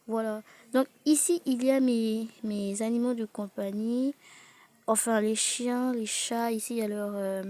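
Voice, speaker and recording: A young woman with a cold and a blocked nose, giving a casual, halting improvised tour commentary as a video host, often repeating words and exclaiming. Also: fairly high pitch, steady tone, mellow. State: sick with a cold. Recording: noisy amateur recording with clicks.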